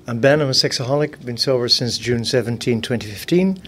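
Man doing a quick matter-of-fact intro, normal pitch, a little background noise. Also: fairly bright tone. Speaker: committee member. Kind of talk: brief self-introduction in a group.

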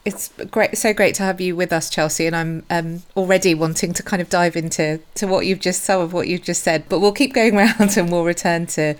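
Woman podcast host, slightly low voice. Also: steady tone, a little fast, few pauses, clean tone. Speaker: podcast host, clinical editor. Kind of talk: podcast introduction and panel hosting.

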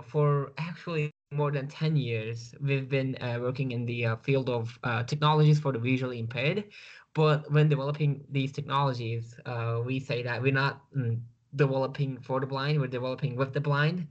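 A man's voice: medium pitch, steady tone, moderate pace, muffled recording. A man from Turkey with a Turkish accent, a guest speaking over a video call, hesitant and full of fillers.

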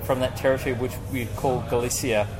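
A man's voice: mid-range voice, fairly even intonation, fast talker. A man co-hosting a casual podcast, reading out a guest's written answers and bantering loosely with the occasional swear word. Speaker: podcast co-host. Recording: music playing underneath.

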